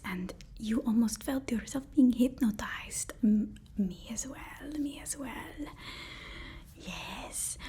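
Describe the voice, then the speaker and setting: A woman's voice: on the high side, mellow, breathy edge, exaggerated voice. A woman playing a devoted, nervous supervillain's personal assistant in an ASMR roleplay, whispering breathily with a deferential, hesitant, soothing manner. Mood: nervous, apologetic.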